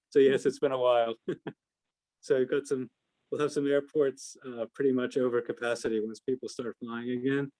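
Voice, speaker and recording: A man's voice: medium pitch, little pitch movement, moderate pace, a little mellow, no room sound. An American man giving informal analysis as an investment adviser answering questions on a call, hesitant and hedging as he thinks aloud.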